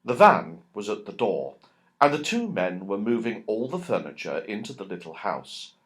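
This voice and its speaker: A man's voice: lowish voice, sing-song, moderate pace, fairly bright tone. A man narrating a children's storybook expressively, drawing out key words for emphasis. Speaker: audiobook narrator.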